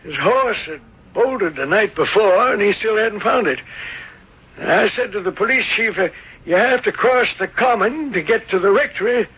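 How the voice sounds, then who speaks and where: A man's voice: sits high, lively intonation, normal speed, pushed and bright, husky, heavily acted. An actor playing a flustered, defensive small-town innkeeper in a radio drama, stammering and folksy as he grumbles. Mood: defensive, recounting. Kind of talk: 1970s radio drama acting. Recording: dull lo-fi old radio broadcast.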